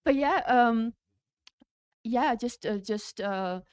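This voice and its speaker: A woman in her thirties from the Czech Republic, interviewed as a race champion, laughing often and pausing on fillers. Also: fairly high pitch, darkish tone, smooth, no echo. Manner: giggly, hesitant, many fillers. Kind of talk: interview answers. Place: race broadcast studio.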